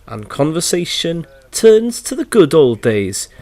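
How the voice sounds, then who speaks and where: A man's voice: on the high side, sing-song, measured pace, a little mellow, no room sound. A man narrating a scripted local news feature as the reporter.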